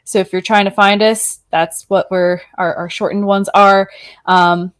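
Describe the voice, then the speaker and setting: A woman's voice: medium pitch, steady tone, measured pace, clear, resonant tone. Easygoing woman podcast guest. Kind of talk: casual podcast chat.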